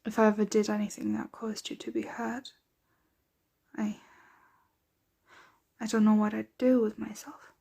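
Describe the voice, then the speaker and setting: A woman playing a centuries-old, doting, aristocratic vampire mistress in an ASMR roleplay, speaking softly, tenderly and without hurry, often slipping into whispers. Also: mid-range voice, little pitch movement, gravelly, husky. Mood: wistful, protective. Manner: soft, unhurried, tender, often drifting into whispers.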